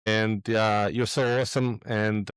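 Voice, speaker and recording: Man, middle-aged, smooth clear voice, dry close sound, confident joking guest. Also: lowish voice, flat delivery, a little slow, no echo. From Moldova. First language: Russian. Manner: confident, jokey, explanatory. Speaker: real estate investor guest. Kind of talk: podcast interview.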